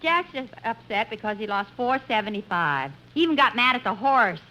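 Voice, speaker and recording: A woman's voice: a little high, lively intonation, fairly quick, sharp tone, rough recording. An actress playing a wisecracking, teasing, unimpressed woman in 1950s radio comedy, dry and needling, on an old recording.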